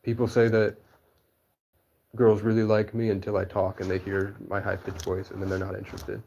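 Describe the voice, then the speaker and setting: Male interviewee, low and monotone, rambling candid talk, muffled lo-fi recording. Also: moderate pace, vocal fry, slight background noise. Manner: meandering, full of "like" fillers and restarts.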